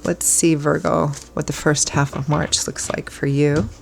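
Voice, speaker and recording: A hoarse woman giving a casual tarot reading as host, chatty and reassuring, her voice still froggy from illness. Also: low voice, level tone, unhurried, slight background noise. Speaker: tarot reader.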